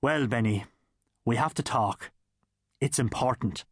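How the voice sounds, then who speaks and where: A man voicing a kindly, steady working father, speaking plainly and gently in an audiobook. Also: medium pitch, measured pace, slightly rough, no echo, studio quality. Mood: gently serious.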